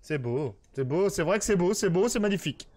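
A man's voice: high-pitched, lively intonation, a little fast, darkish tone. A man in his thirties streaming a police roleplay game, bossing and teasing a recruit in a playful, mock-commanding way on a headset. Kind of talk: casual roleplay banter. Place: home gaming room.

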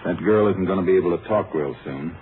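Businesslike Texas Ranger played by a man, very low voice, 1950s radio drama, noisy narrow sound. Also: quick pace, close to an everyday voice. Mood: calm, probing.

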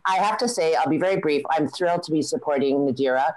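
A woman's voice: sits low, fast, a little mellow, no room sound, rough recording. A woman who heads a political group, briefly praising a candidate on a video call with hesitant fillers. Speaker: president of a political women's group. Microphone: video call webcam.

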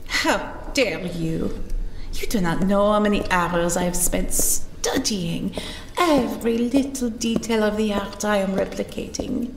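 A woman's voice: mid-range voice, measured pace, slight background noise, lightly acted. A woman playing a haughty art forger. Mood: proud. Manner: proud, formal, indignant. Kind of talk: audio drama acting. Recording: roomy reverb with music behind.

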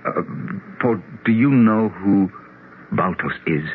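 An actor playing an earnest, thoughtful doctor of psychology in a 1970s radio drama, with a musing, somewhat hesitant manner. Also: male voice, lowish voice, lively intonation, slow pace. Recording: tinny, noisy 1970s radio broadcast.

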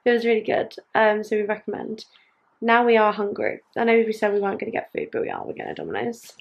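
A woman's voice: medium pitch, very animated, quick pace, creaky, muffled recording. A young woman, chatting to camera at home in a rambling vlog style. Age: young adult. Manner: rambling, chatty, with many ums. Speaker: vlogger. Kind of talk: casual vlog chat to camera. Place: home living room and bedroom, indoors. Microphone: camera at arm's length.

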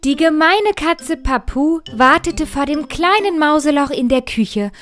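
A woman's voice: high voice, expressive, unhurried, a bit bright. A woman reading a scripted fairy tale for children as storyteller. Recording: music under the voice. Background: music.

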